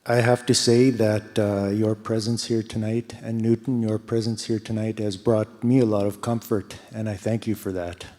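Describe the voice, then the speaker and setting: A man with a somewhat low voice hosts a post-show talkback. He asks his questions gently and thanks the performers appreciatively, sounding emotionally moved. The room carries a long hall reverb. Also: flat delivery, normal speed, few pauses. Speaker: event host and moderator.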